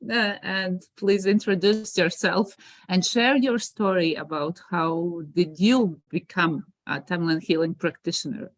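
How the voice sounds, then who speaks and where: A woman hosting an interview, welcoming her guest in a gentle, unhurried way. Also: on the low side, steady tone, dry recording. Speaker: host, teacher. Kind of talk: interview introduction.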